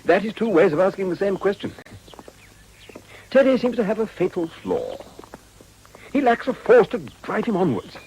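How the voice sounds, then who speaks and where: Courtly upper-class suitor for period drama, very theatrical swooping delivery, formal and ornate, slight background noise. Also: male voice, sits high, big pitch swings, normal speed, pauses often, darkish tone, poor audio. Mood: earnest. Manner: florid, formal, pompous.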